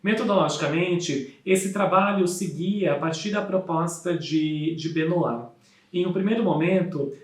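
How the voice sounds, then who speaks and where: The voice is a Brazilian man's, pitched a little high. He presents research in a formal, measured academic style, explaining step by step. The sound is strongly roomy, with clear echo around the words. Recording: echoey room sound.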